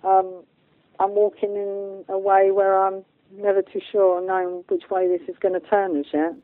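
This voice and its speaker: Woman, medium pitch, earnest and emphatic, colloquial interview guest, narrow phone-like sound, dry. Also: level tone, normal speed, no room sound. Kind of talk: informal spiritual interview talk.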